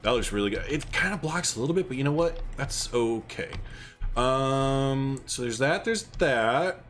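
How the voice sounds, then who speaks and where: A man's voice: mid-range voice, expressive, unhurried, a bit bright, soft background music. A man casually chatting on a gaming livestream, rambling and thinking aloud, a bit stuffed up. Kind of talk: casual gameplay livestream chat.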